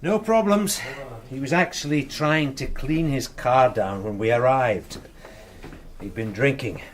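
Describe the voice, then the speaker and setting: An actor in a radio drama playing a tired, loyal detective sergeant with a matter-of-fact, understated manner. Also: male voice, medium pitch, a little slow, a little hiss or hum, natural voice. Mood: matter-of-fact, satisfied.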